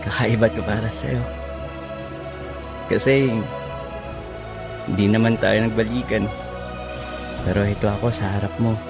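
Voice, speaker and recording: A man acting an earnest, devoted young suitor in a romantic audio drama, speaking sincerely and in measured phrases. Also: fairly low pitch.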